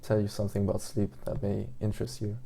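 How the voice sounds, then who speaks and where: A young German-accented man, just awake, rambles through a casual rant full of ums and restarts. His voice sits a little low, with a heavy gravelly fry and a very dark, mellow tone. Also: monotone, fast, a little hiss or hum. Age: 20s. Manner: meandering, hesitant, many fillers and restarts. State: just woke up. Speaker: language learner vlogger.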